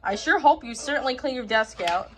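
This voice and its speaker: A woman voicing a firm, brisk schoolteacher instructing her class in a children's story. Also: high voice, animated, a bit bright, faint music behind, pitched below the performer's own voice. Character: female schoolteacher, brisk and orderly, addressing class.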